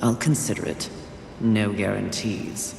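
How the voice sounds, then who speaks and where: A woman's voice: a bass voice, steady tone, measured pace, gravelly, roomy sound, big-room sound, music in the background, exaggerated voice. An actress playing a proud, short-tempered female warrior in a video game, curt, imperious and combative. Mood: curt, impatient. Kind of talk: dramatic video game acting.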